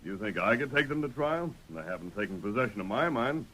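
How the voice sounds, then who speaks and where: An actor playing a masked western hero with an American accent, resolute and commanding, in a 1930s radio western. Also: male voice, medium pitch, big pitch swings, fast talker, pushed and bright. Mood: firm, urgent. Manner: firm, earnest, clipped, authoritative. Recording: noisy 1930s radio recording.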